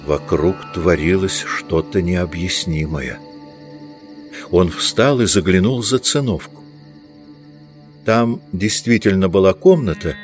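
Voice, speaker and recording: A man reading scripted literary narration as the narrator of an audiobook. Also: sits low.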